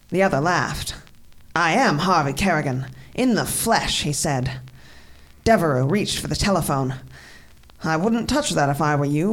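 An actress playing a novelist who reads a melodramatic mystery manuscript aloud, measured and dramatic. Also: female voice, sits low, a little hiss or hum. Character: novelist reading her melodramatic manuscript aloud, voicing characters. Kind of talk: dramatic read-aloud narration.

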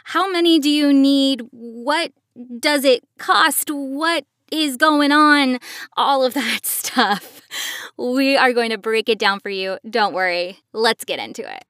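Higher-pitched woman podcast host, dry studio sound. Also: slow, fairly bright tone, dry recording. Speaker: podcast co-host, voice acting professional. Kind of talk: conversational podcast talk.

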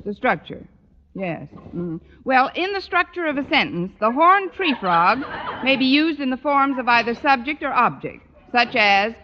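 An actress playing a sardonic high school teacher with a dry, wisecracking delivery, in old radio sitcom style. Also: female voice, sing-song, moderate pace. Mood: bluffing. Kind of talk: 1940s-50s radio sitcom acting. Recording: noisy muffled old radio recording.

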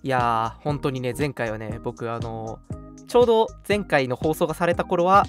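A man hosting a radio show in casual chat, talking in an animated, chatty way with a co-host. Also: mid-range voice, moderate pace, soft background music.

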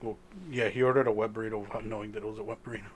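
A man chatting casually with friends, animated and profane, with Spanish as his first language. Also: lowish voice, racing. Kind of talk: casual chat among friends.

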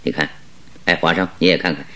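一位男演员在广播剧中饰演自信、善于追问的侦探，说话从容推敲，带有戏剧腔。. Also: male voice, very deep voice, fairly even intonation, sharp tone. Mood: probing. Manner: inquisitive, deliberate, theatrical. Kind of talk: Chinese radio drama acting.

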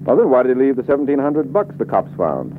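An actor playing a wry, self-assured private detective with an American accent, glib and slangy in an old radio drama. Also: male voice, mid-range voice, huge pitch swings, a little hiss or hum. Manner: glib, slangy, unhurried reasoning. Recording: muffled narrow-band old radio recording.

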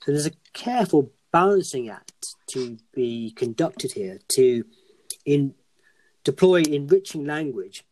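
A man's voice: fairly high pitch, animated, measured pace, darkish tone, a little hiss or hum, poor audio. A man co-hosting a podcast discussion, speaking in formal, elaborate sentences with frequent restarts, losing his voice. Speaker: podcast co-host.

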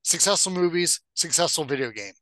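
A man's voice: fairly high pitch, animated, fairly quick, fairly bright tone, no room sound, rough recording. A man chatting casually and informally as a podcast co-host. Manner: informal, conversational. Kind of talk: casual chat about video game movies.

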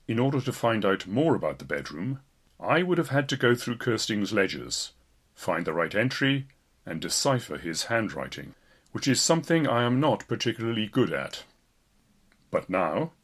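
A man's voice: fairly low pitch, fairly bright tone, breathy edge. A man reading a written blog post aloud as scripted narration, in the role of a volunteer narrator. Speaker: volunteer reader of an audio blog.

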